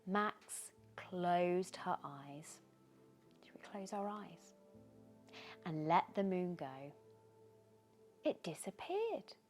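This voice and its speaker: A British woman reading a children's bedtime story aloud in an expressive, dramatic way, often dropping into hushed tones. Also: lowish voice, extremely slow, pauses often. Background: background music.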